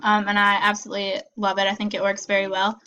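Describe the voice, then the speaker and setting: A woman's voice: medium pitch, monotone, quick pace, sharp tone, clean tone. Young woman, chatty, slightly nasal from a cold. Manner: chatty, many likes and ums, upbeat. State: getting over a cold, a little nasal. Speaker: student giving peer feedback. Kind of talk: casual spoken review.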